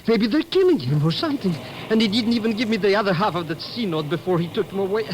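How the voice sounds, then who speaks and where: An actor playing a flustered, whiny comic sidekick who talks in slang, in old radio drama style. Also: male voice, high-pitched, lively intonation, quick pace, slight background noise, rough recording. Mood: mournful. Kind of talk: 1940s radio acting.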